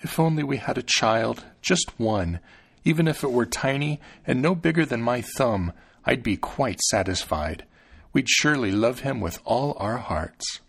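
A man's voice: medium pitch, expressive, unhurried, creaky, husky, exaggerated voice. A man voicing a farmer's wife in a storybook, a wistful woman who speaks tenderly and with longing. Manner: sighing, tender.

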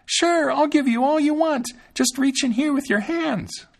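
A man voicing a tiny, cheeky boy who talks in a high, piping voice. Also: very animated, normal speed, vocal fry, full character voice. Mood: shouting cheekily. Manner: squeaky, shouting.

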